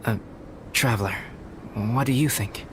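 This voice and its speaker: A man voicing a calm, polite young scholar and artist in a video game, speaking in a measured, formal manner. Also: mid-range voice, noisy background, lightly acted. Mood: thoughtful, polite. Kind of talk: animated game voice acting. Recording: music behind the voice.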